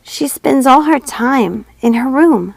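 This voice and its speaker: A woman's voice: sits high, lively intonation, slow, gravelly, no room sound, voice pushed up, full character voice, rough recording. A woman voicing a frightened, sorrowful little princess girl with a pleading, tearful manner in a storybook reading. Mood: sad, worried.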